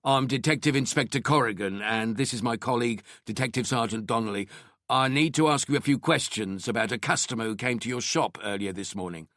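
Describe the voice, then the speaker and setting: A man's voice: medium pitch, fairly quick, fairly bright tone, no echo, clean studio sound, close to an everyday voice. A man voicing a curt, impatient male detective inspector in an audiobook. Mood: brusque. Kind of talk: audiobook character acting.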